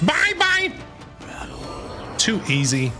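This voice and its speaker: A man giving casual, playful live reactions while streaming a video game, excitable and quick to respond. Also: extremely high pitch. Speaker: gaming streamer. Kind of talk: casual live reaction commentary over gameplay. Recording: game music behind the voice.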